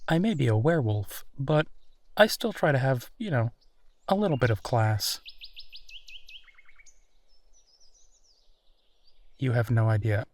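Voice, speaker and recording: A man's voice: medium pitch, sing-song, moderate pace, a little mellow, lightly acted. A man playing a friendly, easygoing werewolf in a roleplay audio drama, talking casually and reassuringly with small hesitations. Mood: playful, relaxed. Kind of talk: roleplay audio drama, natural one-sided conversation.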